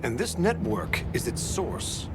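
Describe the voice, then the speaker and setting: An actor playing an inquisitive, enthusiastic researcher in a game, articulate and slightly theatrical. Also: male voice, on the high side, sing-song, measured pace. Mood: intrigued. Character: inquisitive, enthusiastic male researcher explaining discoveries. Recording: music under the voice.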